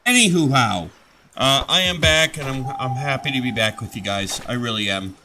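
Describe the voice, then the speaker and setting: A man's voice: medium pitch, lively intonation, normal speed, bright, forceful tone, a little hiss or hum. A man hosting a gaming channel, chatting casually over his gameplay, rambling and restarting his sentences as he gets over a head cold.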